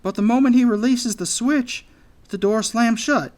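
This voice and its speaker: An anxious anteater from a fantasy storybook, acted out by a man reader. His voice sits high and frets along hesitantly, always worried for his friend. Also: normal speed, a little mellow. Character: nervous, fretting male anteater friend in children's fantasy.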